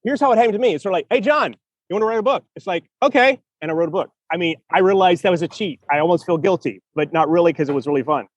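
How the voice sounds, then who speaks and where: Chatty man with a higher voice. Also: racing, dry recording, lo-fi sound. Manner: chatty, laughs often, restarts sentences. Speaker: podcast host. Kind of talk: casual chat about writing.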